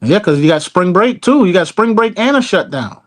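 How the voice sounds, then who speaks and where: Male co-host, higher voice that jumps around a lot, quick comic interjections. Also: sing-song. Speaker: podcast co-host. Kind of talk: casual comedic chat.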